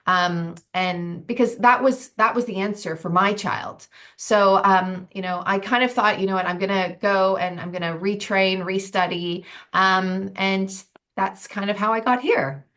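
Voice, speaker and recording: A woman's voice: mid-range voice, fairly even intonation, a little slow, a bit bright. A woman in her forties talking as a nutritionist guest in a casual interview, thoughtful with frequent ums and restarts. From United States.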